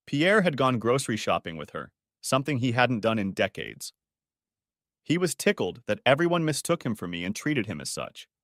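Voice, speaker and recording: Male audiobook narrator, animated delivery, dry booth sound. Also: mid-range voice, no room sound. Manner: expressive storytelling. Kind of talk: scripted story narration.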